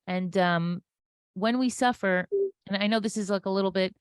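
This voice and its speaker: A woman with a medium-pitched voice gives an impromptu inspirational religious talk as a guest. She speaks earnestly and emphatically, building her points through repeated phrases. The sound is dry, with very little room in it. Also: normal speed, clear, resonant tone, no room sound.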